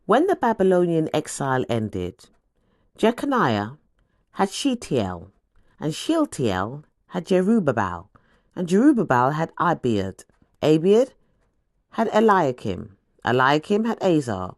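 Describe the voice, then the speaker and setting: A woman reading scripture as scripted audiobook narration, with a deliberate, dramatic storytelling delivery. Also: deep voice, huge pitch swings, dry recording, studio quality.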